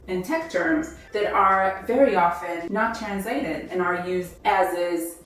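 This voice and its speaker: A woman teaching an online language lesson, enunciating example words clearly and deliberately, her native language Hebrew. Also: medium pitch, distant mic. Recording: very echoey room, voice set back from the mic, faint background music.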